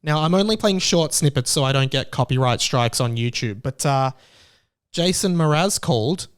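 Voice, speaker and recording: Chatty Australian man, smooth voice. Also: medium pitch, lively intonation, moderate pace. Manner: chatty, playfully opinionated, jokey. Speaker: podcast host. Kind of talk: casual podcast monologue.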